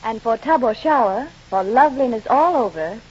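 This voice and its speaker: A woman's voice: on the high side, lively intonation, moderate pace, a little mellow, slightly rough. A woman reading a scripted 1940s radio commercial in an instructional, persuasive way. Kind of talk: scripted 1940s advertising read. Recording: muffled vintage radio broadcast.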